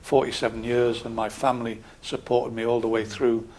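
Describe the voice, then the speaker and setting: Older geordie guy, ex-army councillor, calm and steady, talks it through plainly. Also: medium pitch. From Scotland, raised in Newcastle area. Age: 60s. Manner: measured, matter-of-fact, calm. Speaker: local councillor, interview guest. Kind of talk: calm interview answers.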